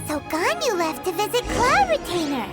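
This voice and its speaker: A tiny, excitable floating sprite chirps in a very high voice that leaps all over the place. She talks about herself in the third person and chatters eagerly. Loud game music plays behind her. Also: moderate pace. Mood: curious. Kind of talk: video game voice acting.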